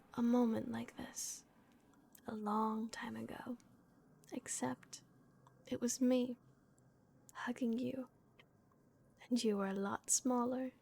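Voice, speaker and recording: A woman voicing a gentle, remorseful vampire guardian in a breathy, hushed whisper, as an ASMR roleplay. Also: a little high, slight background noise. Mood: tender, reassuring. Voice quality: breathy, whispered with creaky edges. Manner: slow, hushed, hesitant pauses. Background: dark castle ambience.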